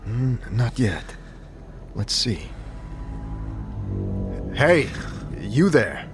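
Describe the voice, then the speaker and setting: A man's voice: medium pitch, big pitch swings, very slow, music in the background. A man playing a curious, patient, slightly wary adventuring leader in an audio drama, with a hesitant, questioning manner. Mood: curious, careful.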